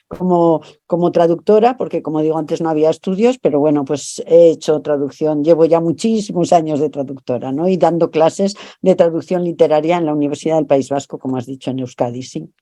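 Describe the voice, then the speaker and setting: A middle-aged woman from Spain speaks with a Spanish accent, answering interview questions as a translator and professor. Her voice is very dark and mellow, recorded dry and close. She talks reflectively, often repeating words as she gathers her thoughts. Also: low voice, no echo. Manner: thoughtful, repeats words while searching for phrasing. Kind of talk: interview over video call.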